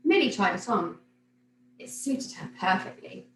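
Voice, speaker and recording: A woman reading a children's picture book aloud like a teacher, in an expressive, animated storytelling manner. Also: mid-range voice, unhurried. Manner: animated, clearly paced storytelling for young children. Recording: roomy echo, noticeable background noise.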